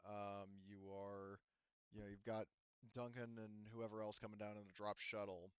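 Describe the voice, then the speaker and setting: A man with a lowish, smooth voice improvises as a tabletop game master. He pauses and gropes for words as he lays out the scene. The sound is dry and slightly dull. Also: level tone, no echo. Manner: hesitant, halting, thinking aloud. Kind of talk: casual improvised narration of a tabletop roleplaying game.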